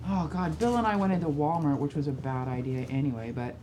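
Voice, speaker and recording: A middle-aged woman casually telling comic anecdotes, acting out both sides of conversations in an animated way. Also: low voice, quick pace. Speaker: guest storyteller, mother of one of the crew. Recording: clearly noisy room ambience.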